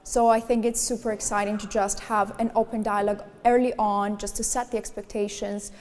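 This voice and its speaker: A woman's voice: mid-range voice, little pitch movement, fairly quick, darkish tone. A young woman speaking as a panelist, poised and articulate. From Greece. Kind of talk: panel discussion answers. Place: conference hall on stage. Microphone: handheld mic. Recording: reverberant conference hall.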